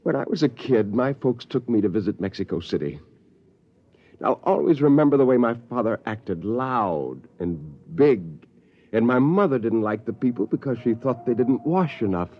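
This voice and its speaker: A man's voice: medium pitch, lively intonation, moderate pace, close to an everyday voice. An actor playing a bitter, idealistic male astronaut turned rebel, brooding in 1950s radio drama style. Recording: lo-fi old radio recording.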